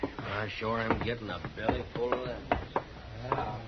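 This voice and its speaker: An actor playing a mean, bullying frontier tough with an American western accent and a sneering, menacing manner in a 1950s radio western. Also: male voice, on the low side, steady tone, unhurried, natural voice. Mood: surly, threatening. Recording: dull 1950s radio recording, noisy room.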